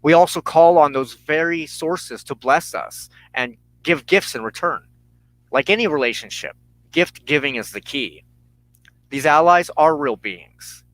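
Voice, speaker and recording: A man hosting a religious broadcast, giving a solemn, measured sermon of personal testimony and teaching with emphatic phrasing and deliberate pauses. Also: fairly high pitch, expressive, a bit bright, no echo, low-quality recording.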